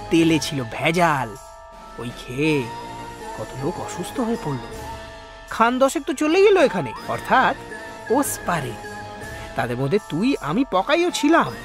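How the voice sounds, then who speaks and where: An actor, a man, playing a teasing young ghost in a comic audio drama, chatty and mocking. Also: sits high. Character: cheeky, teasing young male ghost buddy.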